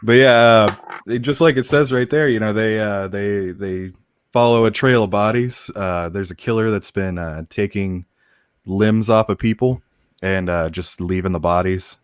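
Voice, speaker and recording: A man, a guest comic creator, chatting casually on a podcast in a laid-back, halting way with many fillers. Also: on the low side, clear, resonant tone, no echo, studio quality. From English- and German-speaking.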